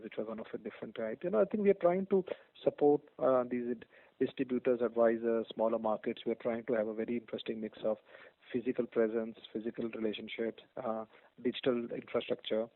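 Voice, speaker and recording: A man's voice: medium pitch, no echo. A man with an Indian accent answering as an executive on a business call, speaking off the cuff with hesitant phrasing and emphatic repetition. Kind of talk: unscripted business commentary. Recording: tinny narrowband conference phone line.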